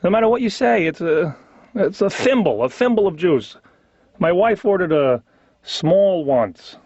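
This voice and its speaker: A man's voice: high-pitched, expressive, a little slow, darkish tone, husky, no echo. A man doing a conversational observational comedy monologue, complaining in a neurotic, exasperated way. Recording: slightly dull lo-fi cartoon soundtrack.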